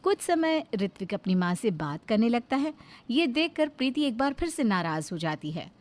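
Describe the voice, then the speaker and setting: A woman's voice: on the high side, very animated, normal speed. A woman reading scripted narration for a cartoon story.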